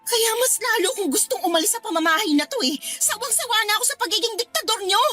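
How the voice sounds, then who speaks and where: An actress playing a stubborn, fed-up young adult daughter in a radio drama, with a snippy, complaining manner. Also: female voice, extremely high pitch, expressive, quick pace, over loud music. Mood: defiant.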